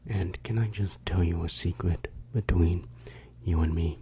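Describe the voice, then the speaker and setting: A man's voice: deep voice, a little slow, mellow, a little hiss or hum. A young man whispering ASMR into a very close microphone, confiding and playfully coaxing the listener. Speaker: ASMR creator. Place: bedroom indoors. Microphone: large desktop microphone, very close.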